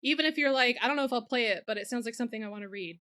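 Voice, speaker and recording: A woman's voice: fairly high pitch, racing, bright, forceful tone, clean tone. A woman co-hosting a podcast in casual chat, with a playful, irreverent and sweary way of talking. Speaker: podcast co-host.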